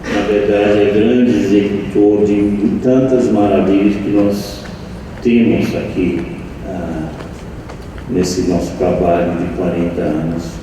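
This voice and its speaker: Older man, slow and halting, echoey room. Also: on the low side, level tone. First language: not Portuguese. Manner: slow, halting, reflective, with many restarts. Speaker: meditation teacher and center leader. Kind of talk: anniversary speech to an audience. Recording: echoey room, distant mic, faint noise.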